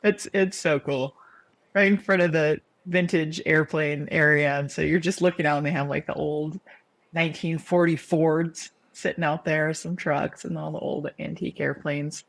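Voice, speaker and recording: A woman's voice: deep voice, fairly even intonation, moderate pace, creaky, no echo. A woman co-hosting a podcast in casual, chatty and enthusiastic conversation. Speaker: podcast co-host. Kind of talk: casual chat.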